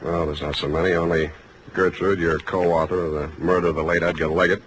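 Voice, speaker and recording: An actor playing a blunt, tough, wisecracking private detective in terse 1940s radio drama style, on a noisy old recording. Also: male voice, deep voice, flat delivery, brisk, lightly acted. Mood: wry, dry. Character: hard-boiled, blunt private detective, a grown man.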